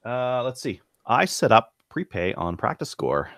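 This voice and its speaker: A man's voice: medium pitch, big pitch swings, measured pace, a bit bright. A man co-hosting a podcast, chatting casually. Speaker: podcast co-host. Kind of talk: casual chat.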